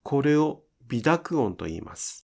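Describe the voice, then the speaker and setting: A man teaching pronunciation in an instructional style, enunciating deliberately and carefully for language learners. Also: medium pitch, big pitch swings, creaky, darkish tone. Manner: deliberate, careful enunciation with demonstrated example words.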